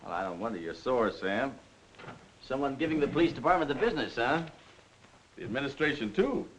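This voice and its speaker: A man's voice: medium pitch, very animated, brisk, a bit bright. A man playing a smug, scheming political operator in a 1940s crime film, glib and falsely chummy. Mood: oily, insinuating. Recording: noisy old 1940s film soundtrack.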